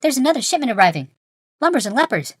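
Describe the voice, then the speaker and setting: A man's voice: very high-pitched, very animated, fast, no echo, studio quality, pitched above the performer's own voice, full character voice. An eager, devout young boy from a fantasy storybook , played by a male narrator. His voice sits far above a man's, earnest and dryly recorded. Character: young boy assistant, ingenuous, devout, irritatingly helpful.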